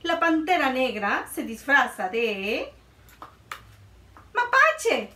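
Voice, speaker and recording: A woman in her thirties reading a picture book aloud to children in a playful, expressive way, in a small room. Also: high voice, takes it slowly, echoey room, distant mic. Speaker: children's librarian. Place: small room indoors, before a board.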